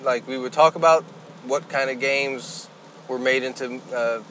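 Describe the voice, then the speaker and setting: A man's voice: medium pitch, steady tone, measured pace, fairly bright tone, slight background noise. A man co-hosting a podcast in casual, loose chat with some mild swearing. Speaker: podcast co-host.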